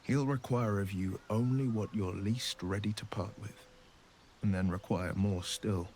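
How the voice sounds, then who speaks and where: Man acting ominous, low-voiced cautioning man. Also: steady tone, darkish tone, slight background noise, lightly acted. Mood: ominous warning. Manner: ominous, measured, theatrical. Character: grave, cautionary man warning of a devil's bargains. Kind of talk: video game character acting.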